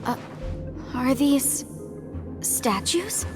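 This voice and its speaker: A woman's voice: sits high, animated, drawn-out words, music in the background, heavily acted. A woman voicing an earnest, principled young archaeologist in a video game, polite but insistent and easily affronted. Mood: wondering.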